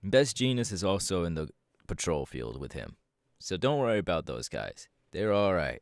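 A man playing a proud, laid-back superhero mentor in an ASMR audio roleplay, talking casually with drawling, hesitant pauses. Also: low voice, very animated, no room sound, studio quality. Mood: casual, explaining.

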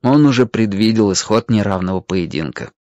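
Expressive male storyteller, very animated intonation. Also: on the low side, fairly quick, dry recording, dull audio. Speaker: narrator. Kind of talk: dramatized storybook narration.

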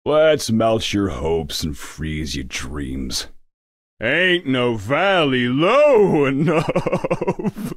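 A man voicing a grumpy, sarcastic unicorn candy-shop worker in a video game, with a deadpan, theatrical manner. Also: mid-range voice, very slow, no echo, clean studio sound, heavily acted. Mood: mischievous. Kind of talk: video game character voice lines.

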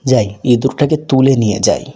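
Man as an earnest, mellow poor vendor. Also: medium pitch, lively intonation, a little fast, slightly rough. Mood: hopeful, musing. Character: earnest, hopeful poor job-seeker turned street vendor. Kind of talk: cartoon voice acting.

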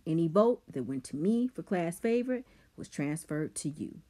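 A woman's voice: low voice, lively intonation, normal speed, mellow. A woman voicing a clever, scheming teenage boy who talks back coldly. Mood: defiant.

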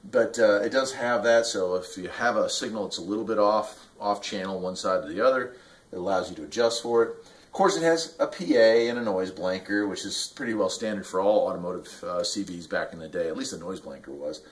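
A man's voice: fairly low pitch, fairly quick. A man in his forties from the United States, talking casually to camera as he reviews gear in a small workshop. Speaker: vlogger reviewing radio gear. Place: small indoor radio workshop.

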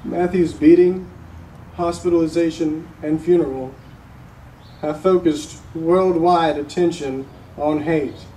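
A man's voice: fairly high pitch, fairly even intonation, warm tone. Young man acting a grieving father, distant, echoey. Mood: grave sorrow. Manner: slow, solemn, halting with heavy pauses. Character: grieving middle-aged father addressing a court, solemn, resolute. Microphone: distant camera microphone. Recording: roomy, noisy, recorded from far off.

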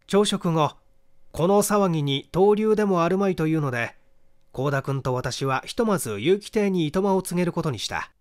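A man giving a measured, expressive reading of a literary detective story as narrator. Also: fairly high pitch. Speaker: audiobook reader, stage actor.

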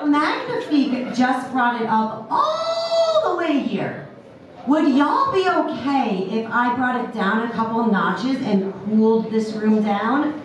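A woman's voice: on the high side, very animated, slow. A woman in her thirties hosting a burlesque show, teasing the crowd as she introduces each act. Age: 30s. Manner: playful, teasing crowd work. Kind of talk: emcee banter introducing acts. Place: brick-walled club stage, indoors. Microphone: handheld mic, recorded by far camera. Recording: echoey club from far off, with background noise.

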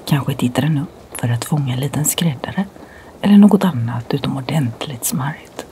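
A middle-aged woman from Sweden with a Swedish accent, whispering ASMR stories softly and slowly in her home library. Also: a bass voice, animated, slight background noise. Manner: soft, slow whisper. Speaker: ASMR creator and storyteller.

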